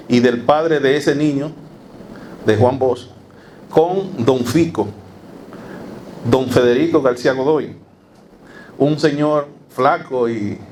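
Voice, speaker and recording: A man with a Dominican accent giving an academic lecture to a live audience, emphatic and halting, repeating phrases. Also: medium pitch, darkish tone. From Dominican Republic. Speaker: academic speaker. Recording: live talk with noticeable background noise.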